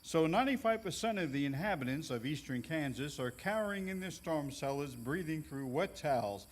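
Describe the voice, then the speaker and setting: A man's voice: fairly high pitch, animated, fairly quick. A man playing an earnest, gullible paranormal investigator who co-hosts a TV show, announcer-like and melodramatic, in a live comedy audio drama. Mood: earnest, announcing.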